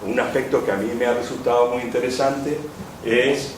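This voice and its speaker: A man's voice: mid-range voice, fairly even intonation, moderate pace. A man giving an academic lecture, rambling and rephrasing with frequent hesitations. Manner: discursive, rephrases often, many "eh" fillers. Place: lecture hall. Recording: echoey hall, distant mic, background noise.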